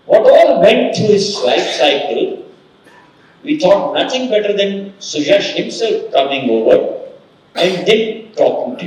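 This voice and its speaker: Animated man introducing a speaker. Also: sits high. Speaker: event host introducing the speaker. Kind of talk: motivational introduction speech. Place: large conference hall. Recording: echoey hall, voice from a distance.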